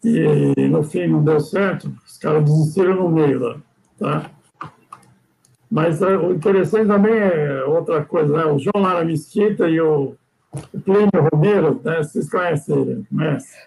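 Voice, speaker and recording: A man's voice: on the high side, moderate pace, vocal fry, darkish tone, low-quality recording. An older man from Brazil telling sailing stories in a rambling, casual way, tagging sentences with "né?" and restarting often. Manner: rambling, frequent "né?" tags and restarts. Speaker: guest sailor. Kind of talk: casual storytelling chat.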